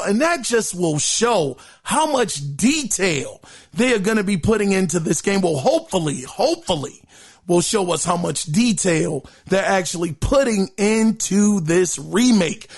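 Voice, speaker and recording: A man hosting a gaming news podcast, talking casually with a hoarse voice and plenty of fillers, calling his listeners guys. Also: high voice. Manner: chatty, addresses listeners as guys, many fillers. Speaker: podcast host.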